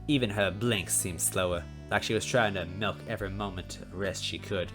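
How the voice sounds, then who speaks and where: Casting: male amateur reader and channel host, lowish voice, casual delivery over faint guitar music. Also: normal speed. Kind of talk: story narration with casual asides.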